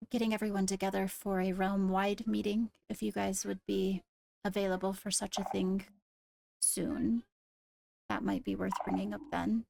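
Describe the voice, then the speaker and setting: A woman playing a composed, courteous court leader in an improvised fantasy game roleplay, speaking in a measured conversational way. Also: medium pitch, vocal fry, darkish tone, no echo. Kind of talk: improvised Minecraft roleplay in character.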